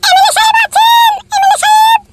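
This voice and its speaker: A performer voicing a plaintive young married woman in a comic cartoon, with a squeaky, shrill, pitched-up voice. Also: little pitch movement, moderate pace, distant mic, very noisy. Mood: inquiring. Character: young married woman, tearful, pleading battered wife. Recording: music behind the voice.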